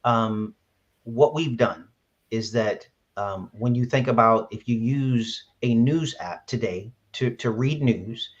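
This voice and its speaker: A man's voice: mid-range voice, slow. A man from Brooklyn, an app founder, answering interview questions earnestly with many fillers and restarts. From Brooklyn, New York. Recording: dull lo-fi sound.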